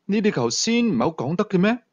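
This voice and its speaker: A man with a Hong Kong Cantonese accent voicing a sharp, teasing, authoritative police team leader in an audio thriller. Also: sits high, sing-song, fast, no room sound, voice pushed up. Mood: probing, teasing.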